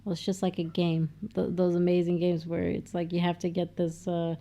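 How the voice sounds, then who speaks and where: A woman with a nasal voice, co-hosting a casual film commentary with quick asides and plenty of fillers. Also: fairly low pitch, completely flat delivery, dark tone. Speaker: podcast co-host.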